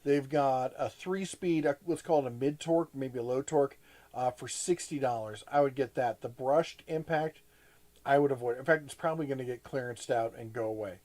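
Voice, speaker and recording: A man's voice: medium pitch, fairly quick, darkish tone. A man hosting a casual live chat, rambling with frequent restarts and plenty of enthusiasm. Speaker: host.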